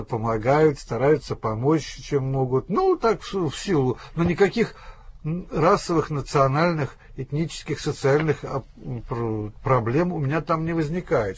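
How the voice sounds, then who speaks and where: A man guest in a radio interview, explaining his views in a measured way with frequent restarts, from Russia. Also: on the high side, slightly rough. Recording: dull lo-fi radio recording.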